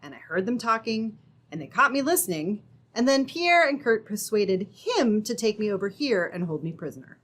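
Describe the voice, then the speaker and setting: Woman playing an animated, plucky young heroine. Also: mid-range voice, normal speed. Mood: earnest. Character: plucky, earnest young woman held captive. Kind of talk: old-time radio adventure acting.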